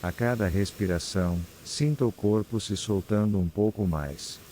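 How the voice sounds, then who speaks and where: A man with a Brazilian accent guides a scripted meditation in a calm, soothing, unhurried manner with long pauses. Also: fairly low pitch, a little hiss or hum. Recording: clear background ambience of ocean waves. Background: sea waves ambience.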